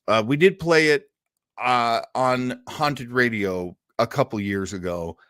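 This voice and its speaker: A man's voice: on the low side, a bit bright, no room sound, studio quality. A man hosting an old-time radio show, chatting casually and enthusiastically to his audience in a rambling, conversational way. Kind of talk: casual chat to a live audience.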